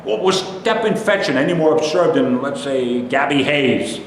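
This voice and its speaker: A man in a staged play reading, playing a bitter, nostalgic, judgmental city loner who rants haltingly. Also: on the high side, animated. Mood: defensive, nostalgic. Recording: very echoey hall, distant mic, faint noise.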